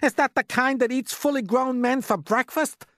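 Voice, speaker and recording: Yelping, high-pitched panicky fire chief. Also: male voice, sing-song, measured pace, fairly bright tone, dry recording, clean studio sound, exaggerated voice. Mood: panicked. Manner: yelping, emphatic, stretched-out words. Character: panicky, excitable male head of fire department.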